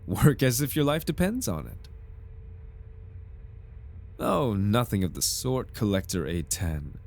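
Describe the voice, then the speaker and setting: A man's voice: fairly low pitch, big pitch swings, slow pace, creaky, a little mellow, heavily acted. A man playing a suave, over-polite corporate recruiter in an ASMR roleplay, with a formal, unctuous salesman's patter. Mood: reassuring, smooth. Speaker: voice actor in an audio roleplay. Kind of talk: ASMR roleplay, scripted in-character monologue.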